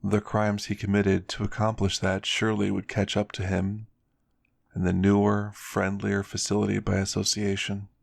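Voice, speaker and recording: A man's voice: on the low side, completely flat delivery, moderate pace, gravelly, no room sound, clean studio sound. A man narrating a scripted story in an ASMR style.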